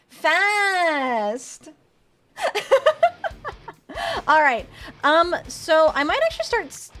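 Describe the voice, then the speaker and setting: A young woman chats with her stream audience in a high voice that leaps up and down, yelling excitedly when her racer pulls ahead. She mentions she is losing her voice. Light game music plays quietly under her. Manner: chatty, excitable, cheers during races. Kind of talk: live game stream commentary with chat.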